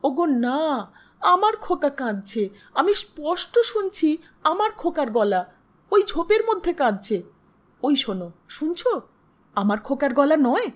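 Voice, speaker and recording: A woman's voice: high voice, sing-song, unhurried, long pauses. A woman voicing a distraught grieving young mother in an audio story, urgent, pleading and insistent. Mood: desperate, insistent.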